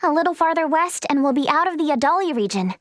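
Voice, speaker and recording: Very high, eager young game heroine. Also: female voice, animated, quick pace, dry recording, clean studio sound. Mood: businesslike, friendly. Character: earnest, eager, kindly young female healer's helper. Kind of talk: scripted video game voice lines.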